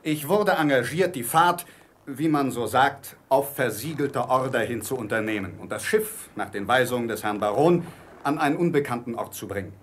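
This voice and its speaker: An actor playing a stern, blunt ship's captain, speaking formally and firmly in a German radio play. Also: male voice, mid-range voice, lively intonation, slight background noise, lightly acted. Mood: grave, firm. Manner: formal, firm, measured.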